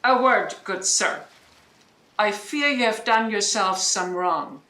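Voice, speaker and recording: Bright, imperious sorceress mother , acted by a woman. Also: medium pitch, animated. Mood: stern. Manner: measured, imperious. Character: commanding, scheming sorceress mother, stern and imperious. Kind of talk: Shakespearean audio drama acting. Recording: echoey room, online home recording.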